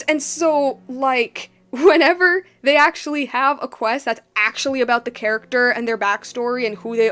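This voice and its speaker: A woman's voice: fairly high pitch, a bit bright. A woman giving casual, rambling gameplay commentary, slangy and informal, repeating herself for emphasis. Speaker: video game streamer.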